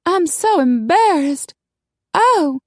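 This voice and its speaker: A woman narrator voicing an excitable, flighty vampire belle who exclaims in a melodramatic manner. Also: extremely high pitch, slow, dry recording, clean studio sound, voice pushed up, heavily acted. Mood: flustered dismay.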